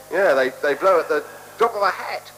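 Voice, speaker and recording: An actor playing a shabby, apologetic hotel keeper in a radio play, rambling and repeating himself deferentially. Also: male voice, fairly high pitch, animated, normal speed, fairly bright tone, soft background music. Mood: rambling, explaining. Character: garrulous, apologetic, shabby male hotel keeper with endless excuses. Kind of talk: 1970s radio play acting. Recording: humming, noisy 1970s radio recording.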